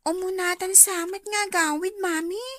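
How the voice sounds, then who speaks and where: A woman's voice: extremely high pitch, steady tone, moderate pace, fairly bright tone, no echo, clean studio sound. A woman with an Ilocano accent voicing a bored, whining child in a radio drama. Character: bored, whining child missing cellphone signal.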